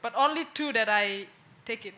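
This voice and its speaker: A woman's voice: on the high side, moderate pace, sharp tone. A woman from Bali with an Indonesian accent, a guest on a discussion panel, speaking hesitantly and searching for words in front of an audience. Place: event venue with an audience.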